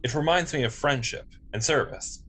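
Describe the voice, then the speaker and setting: A man's voice: mid-range voice, moderate pace, a bit bright, husky. A man reading the part of a polite, innocent android officer in a table read, formal and earnest. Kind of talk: amateur script table read.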